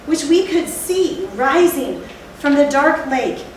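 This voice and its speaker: A woman playing a haunted, fearful narrator in theatrical Gothic storytelling, with a deliberate, literary delivery. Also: sits high, animated. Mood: awed, urgent. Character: haunted, fearful narrator recalling a night of horror. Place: theatre stage, recorded from a distance. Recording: echoey hall, distant mic, faint noise.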